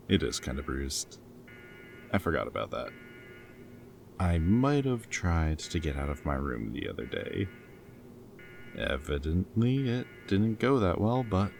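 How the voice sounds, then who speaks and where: A man's voice: rumbling low, creaky, full character voice. A man playing a goofy, flirty, protective rebel captive in a dystopian roleplay audio drama, with a wry, intimate way of talking. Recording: background music, loud ambient noise in places.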